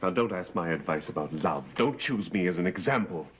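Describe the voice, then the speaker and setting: An actor playing a mysterious older man with a dark past in a 1960s soap opera, curt and guarded, heard on an old TV soundtrack with organ music. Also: low voice, animated, brisk.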